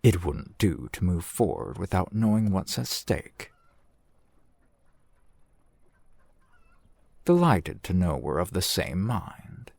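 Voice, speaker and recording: A man playing a courteous, shrewd fantasy shopkeeper in an ASMR roleplay, speaking in formal, measured, polite phrases. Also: deep voice, very animated, gravelly, a little mellow, no room sound, exaggerated voice. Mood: thoughtful. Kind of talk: ASMR roleplay, scripted character acting.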